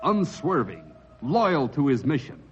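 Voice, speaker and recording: Dramatic male radio announcer over loud music. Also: mid-range voice, wildly swooping pitch, a little slow. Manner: dramatic, declamatory. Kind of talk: old-time radio serial opening announcement. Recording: dull old radio recording under loud music. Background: loud theme music.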